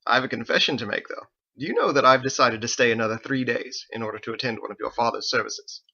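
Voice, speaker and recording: A man's voice: medium pitch, fast, creaky, sharp tone, dull audio. A man voicing a pompous, verbose, prim young paladin churchman in an audiobook, with florid, fussy, over-formal diction. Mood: humbly proud. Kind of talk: audiobook character voice.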